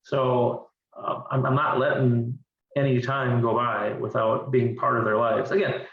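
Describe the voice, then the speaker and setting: A man's voice: mid-range voice, level tone, measured pace. Earnest man in his forties, guest, restarts his sentences; far from the mic in a dull, echoey room. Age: 40s. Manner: earnest, repeats words while thinking. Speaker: interview guest. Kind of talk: candid conversational interview. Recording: distant, roomy and dull-sounding.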